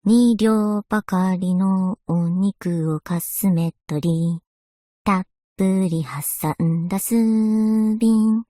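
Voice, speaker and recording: A woman's voice: mid-range voice, takes it slowly, dark tone, no room sound, clean studio sound, natural voice. A woman voicing a polite, scholarly young historian in a game, speaking with formal, refined courtesy. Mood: playful singsong. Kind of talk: scripted game character voice lines.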